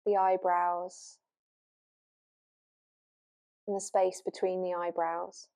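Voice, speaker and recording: Soothing, even-toned woman guiding relaxation. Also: lowish voice. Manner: gentle, unhurried, soothing, with long pauses. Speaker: yoga nidra teacher. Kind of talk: guided relaxation meditation. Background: new-age music.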